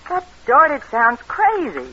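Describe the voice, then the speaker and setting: A woman's voice: high-pitched, huge pitch swings, slow, bright, forceful tone, a little hiss or hum, exaggerated voice. An actress playing a skeptical, wry, sultry woman accomplice in a 1940s radio crime drama. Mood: wary, doubtful. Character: wary, sultry female accomplice of a con man. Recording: dull old radio recording.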